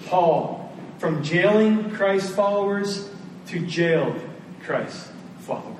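A man's voice: high voice, lively intonation, takes it slowly. Male preacher, conversational, rhetorical questions, distant mic, heavy room echo, faint noise. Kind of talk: sermon.

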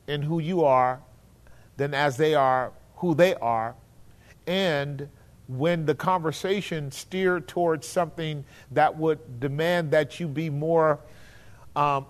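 Man, Bible study leader, earnest, preacherly, slightly high voice.

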